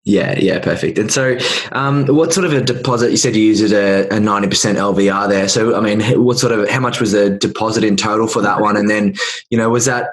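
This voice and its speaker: A man hosts the podcast, asking rambling questions with plenty of fillers and false starts. His voice sits somewhat low. His delivery is relaxed and conversational. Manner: many fillers and repeated starts. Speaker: podcast host. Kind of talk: casual interview questions.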